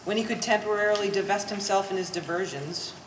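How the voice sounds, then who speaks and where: A woman's voice: mid-range voice, fast, close to an everyday voice. Woman playing a sardonic, bookish daughter, echoey hall. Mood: dry, disapproving. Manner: pompous big words, dry and precise. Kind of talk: one-act stage play performance. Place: art gallery, live staged reading. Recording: reverberant gallery hall, faint hiss.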